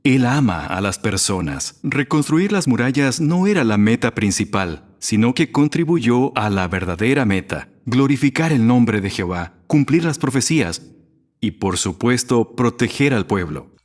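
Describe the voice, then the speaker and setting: Measured male religious speaker. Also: mid-range voice, animated. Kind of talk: prepared religious sermon.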